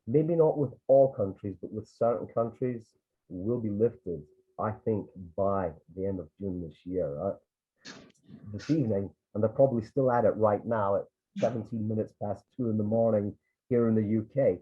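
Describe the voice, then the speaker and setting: A man's voice: fairly low pitch, moderate pace. A Scottish man from Edinburgh, a tour guide and photographer, chatting in a rambling way with many restarts and asides. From Scotland. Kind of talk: casual storytelling on a video call. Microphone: video call. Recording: tinny phone-like video call audio.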